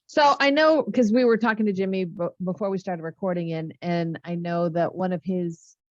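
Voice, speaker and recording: A woman's voice: lowish voice, moderate pace, a little mellow, dry recording. Casual woman co-host, dry muffled sound. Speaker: podcast co-host. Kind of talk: casual conversational interviewing.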